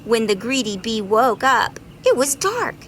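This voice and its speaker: A woman's voice: on the high side, sing-song, measured pace, a bit bright, a little hiss or hum. A woman reading a children's story aloud expressively, drawing out words dramatically for young listeners.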